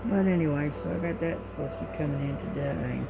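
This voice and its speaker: Sleepy, quiet low-voiced woman. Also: brisk, vocal fry, heavy background noise. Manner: quiet, soft-spoken and rambling. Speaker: crafting vlogger. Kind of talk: casual chat while diamond painting. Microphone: cell phone.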